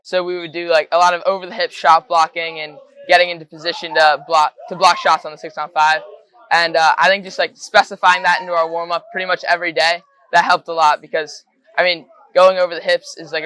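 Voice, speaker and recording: A sixteen-year-old man from Connecticut, talking relaxed and casual with "like" and "uh". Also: fairly high pitch, smooth, rough recording. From Connecticut, USA. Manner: relaxed, uses "like" and "uh". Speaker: student athlete. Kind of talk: casual testimonial interview.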